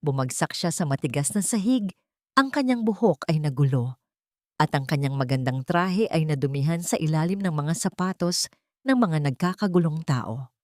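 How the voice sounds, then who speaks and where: A woman with a Filipino accent narrating a scripted story in a measured, formal and dramatic way. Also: deep voice, expressive, a little mellow, no room sound, studio quality.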